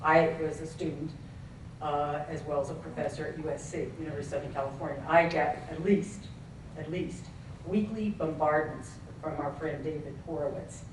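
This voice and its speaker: A professor speaks up from the audience at a conference, earnest and wandering through asides. The voice sits at a middle pitch and is heard far from the microphone in a very echoey hall, with faint noise behind it. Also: fairly even intonation, normal speed.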